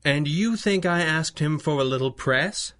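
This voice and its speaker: An actor playing a masked 1930s crime-fighting hero in a radio adventure, articulate and formal with a dry wit. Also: male voice, fairly high pitch, lively intonation, unhurried, a bit bright. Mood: earnest, measured. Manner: articulate, formal, theatrical delivery.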